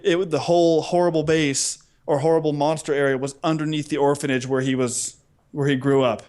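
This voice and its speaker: A man with a fairly high-pitched voice co-hosts a casual podcast. He tells stories with humor, rambling along in an animated way and slipping into acted-out dialogue. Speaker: podcast co-host. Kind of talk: casual humorous chat, storytelling.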